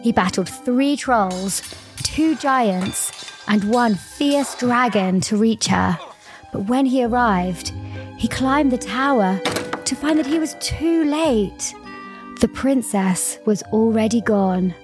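A woman storyteller reads a scripted fairy tale. Her voice is on the high side, with music playing softly behind it. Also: expressive, slow pace.

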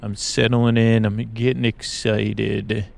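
A man hosting a sleep podcast in a creaky voice, hesitant and meandering as he introduces things and corrects himself. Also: on the low side, little pitch movement, takes it slowly, a little mellow. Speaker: podcast host.

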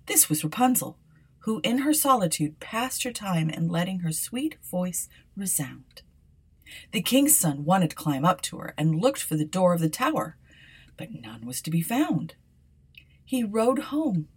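A woman reading an old fairy tale aloud for a podcast, often dropping to a hushed whisper. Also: lowish voice, breathy edge. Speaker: podcast storyteller.

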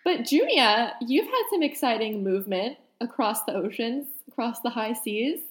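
A woman's voice: fairly high pitch, big pitch swings, moderate pace. A young adult woman from New York City, chatting playfully and wryly as a podcast co-host. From New York City, USA. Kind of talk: casual chat.

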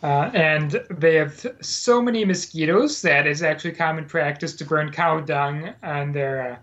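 A man's voice: on the high side, moderate pace, a bit bright. Male guest, rambling storyteller, many fillers, lo-fi muffled recording. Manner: rambling, frequent fillers and self-corrections. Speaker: guest, former Peace Corps volunteer. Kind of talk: casual podcast conversation. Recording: dull lo-fi sound.

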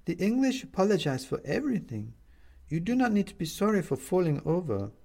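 A man's voice: high voice, very animated, fairly quick, gravelly, warm tone, voice pushed up, heavily acted. A man voicing a kindly, anxious middle-aged woman neighbour in a storybook, with a concerned, slightly formal manner. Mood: gently amused.

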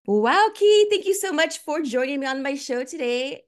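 A woman's voice: high voice, normal speed. Bubbly podcast host woman, faint music. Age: 40s. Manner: bubbly, laughs often, lively exclamations. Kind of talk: casual interview chat.

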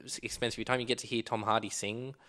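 A man co-hosting a relaxed film podcast. He talks with eager enthusiasm, piles up quick asides, and lets his voice drop low and soft at the ends of thoughts. His pitch sits in an ordinary middle range. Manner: chatty, enthusiastic, often trails off quietly. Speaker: podcast co-host. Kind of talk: casual film chat.

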